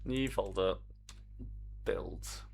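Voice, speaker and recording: A man's voice: fairly low pitch, very slow, darkish tone, slight background noise. A man streaming his game development work, chatting to viewers in a rambling, self-mocking way. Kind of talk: casual live-stream commentary while coding.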